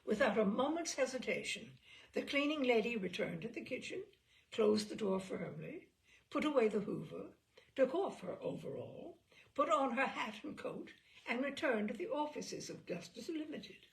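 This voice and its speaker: A woman's voice: a little high, very animated, normal speed, creaky, rough recording. A woman reading a story aloud with dry, wry storytelling. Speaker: reader of stories.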